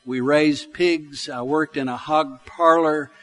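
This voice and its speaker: A man's voice: on the high side, steady tone, takes it slowly, faint music behind. A man in his sixties with a Southern US accent, preaching a sermon in a folksy, conversational way built on personal stories. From Alabama, United States. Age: 60s. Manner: folksy, conversational storytelling with repeated phrases for emphasis. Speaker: pastor.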